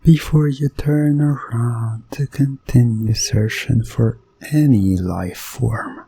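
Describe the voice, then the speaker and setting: A man narrating a hypnotic ASMR science fiction roleplay in a deliberate, lulling way, repeating phrases and often dropping toward a whisper. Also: mid-range voice, gravelly, warm tone, husky, no room sound. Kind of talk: slow hypnotic ASMR second-person narration of a sci-fi roleplay.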